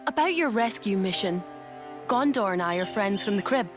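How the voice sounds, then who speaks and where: A woman's voice: mid-range voice, lively intonation, moderate pace, natural voice. An actress playing a brusque, aloof young female rebel in a video game, speaking in a blunt, dismissive way. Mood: probing, curt. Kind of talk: video game cutscene acting. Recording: clear background music.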